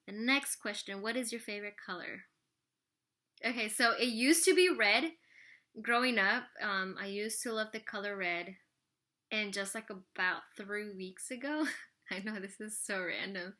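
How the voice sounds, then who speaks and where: Woman, 30s, vlogger, bright chatty voice, rambling, casual Q&A to camera. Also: medium pitch, a little slow. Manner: rambling, full of "like" and "you know". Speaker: vlogger answering viewer questions.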